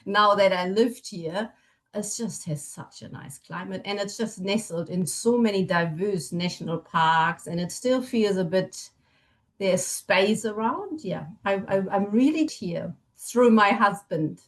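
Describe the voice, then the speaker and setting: German woman, middle aged, german accent, medium pitch, podcast guest chatting casually about herself. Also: unhurried. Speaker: podcast guest, family constellation facilitator. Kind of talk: casual chat, personal storytelling.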